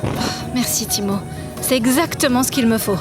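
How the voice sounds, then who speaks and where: A woman's voice: fairly high pitch, normal speed, over loud music, natural voice. A woman playing an earnest, affectionate young science student in a naturalistic fiction podcast. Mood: fond, casual.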